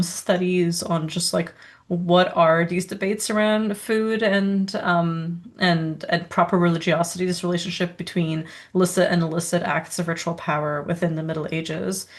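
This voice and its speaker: A woman of origin with a slightly lowered pitch, chatting casually as a podcast co-host. She talks in loose, rambling runs, peppering her speech with filler words and easy laughter as she goes. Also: steady tone. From Serbia. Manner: rambling, quick asides, lots of "like" and laughter. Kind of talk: casual conversational chat.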